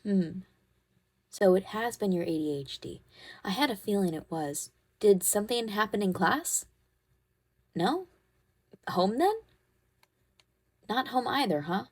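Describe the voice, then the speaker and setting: A woman playing a caring, protective teenage girl who comforts her best friend in an ASMR roleplay, soothing and gently bossy as she talks. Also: medium pitch, animated, a little slow, long pauses. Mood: gently concerned. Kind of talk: ASMR comfort roleplay, one-sided conversation.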